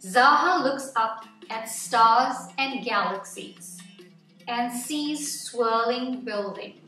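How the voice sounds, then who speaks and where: A woman reading a children's picture book aloud as scripted narration, with measured, deliberate phrasing. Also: a little high, bright, forceful tone. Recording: roomy echo, distant mic, background music. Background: music.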